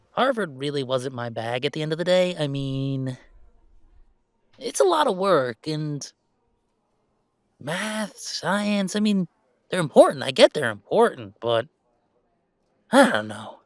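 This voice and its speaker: A man playing a laid-back young werewolf gamer in an intimate audio roleplay, chatting in a wry, halting, casual way. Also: a little high, sing-song, no echo. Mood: animated, mocking. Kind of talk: intimate roleplay audio, naturalistic one-sided conversation.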